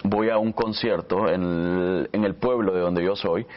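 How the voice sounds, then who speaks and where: A man's voice: deep voice, fairly even intonation, unhurried, lo-fi sound. A thirty-year-old man with a Salvadoran accent, talking conversationally as an interview guest and telling personal stories. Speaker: radio announcer and interview guest.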